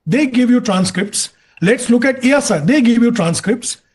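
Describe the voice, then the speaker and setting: An Indian-accented man comments as an expert on a news panel. His voice is strikingly high for a man, and he argues each point with emphasis. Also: animated, moderate pace, breathy edge. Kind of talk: TV debate commentary.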